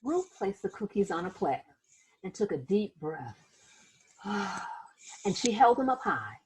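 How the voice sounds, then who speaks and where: Animated woman reading a storybook aloud, slightly husky. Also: medium pitch, unhurried, poor audio. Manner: animated, dramatic, acts out sound words and exclamations. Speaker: teacher reading a picture book aloud. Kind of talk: expressive children's read-aloud storytelling with asides to listeners.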